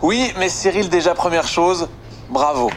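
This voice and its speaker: A man's voice: high-pitched, unhurried, slight background noise. A Belgian man with a Belgian French accent doing a sarcastic comic monologue as a radio columnist. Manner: sarcastic, punchy joke delivery.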